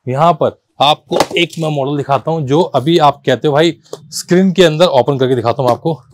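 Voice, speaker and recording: A man gives a casual, persuasive sales pitch as a shop seller, often repeating himself and mixing languages informally. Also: normal speed, a little hiss or hum. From India. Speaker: car accessories shop seller and presenter.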